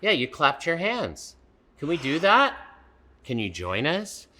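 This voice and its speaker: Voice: medium pitch, huge pitch swings, unhurried, noisy background. A man co-hosting a children's storytime with a playful, theatrical, exuberant way of talking and reading.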